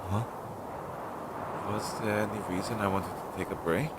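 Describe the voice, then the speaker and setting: A man's voice: fairly even intonation, normal speed, close to an everyday voice. A man playing an anxious, insecure but tender crow familiar in an audio roleplay, talking to the listener in a hesitant, earnest way. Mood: sheepish. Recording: clearly noisy low-quality recording.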